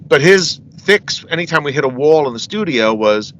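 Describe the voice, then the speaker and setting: Rambling middle-aged metal singer, bright voice. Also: male voice, on the high side, expressive, moderate pace, slight background noise, rough recording. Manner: rambling, says "you know what I mean" often, laughs between stories. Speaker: interview guest, metal singer. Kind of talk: casual storytelling interview.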